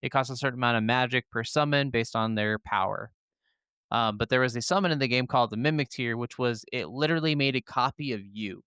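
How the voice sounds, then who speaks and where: A man with a smooth, clear, mid-pitched voice talks casually as a gaming podcast co-host. He is enthusiastic and rambling, with loose swearing, and the sound is very dry. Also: fairly quick, sharp tone, dry recording. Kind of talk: casual, opinionated gaming chat.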